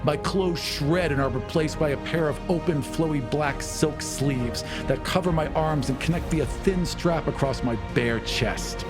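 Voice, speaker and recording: A man narrating a tabletop game as game master, in a deliberate, dramatic, descriptive style. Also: on the high side. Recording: music behind the voice.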